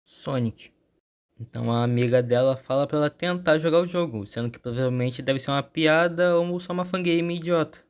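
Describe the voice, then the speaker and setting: A man's voice: fairly high pitch, brisk, clear, resonant tone. A man with a Brazilian accent telling a story as a channel host, in an informal scripted narration.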